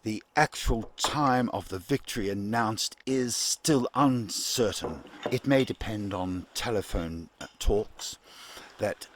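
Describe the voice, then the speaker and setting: A man playing a solemn 1940s wartime radio newsreader, reading a formal bulletin in a measured, deliberate way. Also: on the low side. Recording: clearly noisy recording with clicks.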